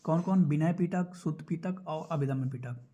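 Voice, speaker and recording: Guy doing exam coaching, kinda high but soft mellow voice, repeats stuff to make it stick. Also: normal speed, lo-fi sound. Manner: repeats key points instructively, checks in with listeners. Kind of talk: explanatory lesson going through quiz questions.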